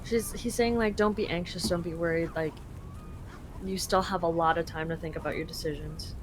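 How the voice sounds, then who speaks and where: A woman's voice: fairly low pitch, fairly even intonation, brisk, background noise. Chatty young woman translating a game live. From Canada. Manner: chatty, full of "like" fillers and laughter.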